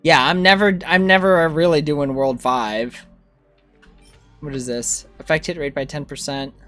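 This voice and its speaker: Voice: medium pitch, measured pace, faint music behind. A game streamer chatting casually and informally, thinking aloud and replying to viewers. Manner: informal, animated reactions to chat. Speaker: game livestreamer.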